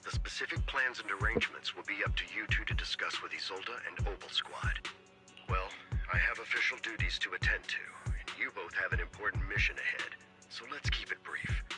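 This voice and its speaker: A man playing a composed, self-assured city mayor in a video game, speaking in a measured, formal and polite way. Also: lowish voice, natural voice. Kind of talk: scripted video game dialogue. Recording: game audio with music behind.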